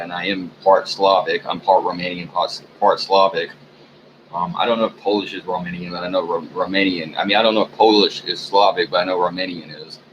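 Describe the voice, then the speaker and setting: Low-voiced man rambling in a muffled recording. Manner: meandering, frequent ums, sleepy and low-key. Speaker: vlogger. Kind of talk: rambling personal monologue. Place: room at home. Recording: muffled, noisy home recording.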